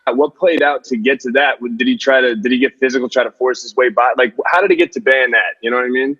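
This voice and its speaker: Husky-voiced man producer. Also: mid-range voice, fast, sharp tone, muffled recording. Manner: casual, blunt questions. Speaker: on-air producer. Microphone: video call.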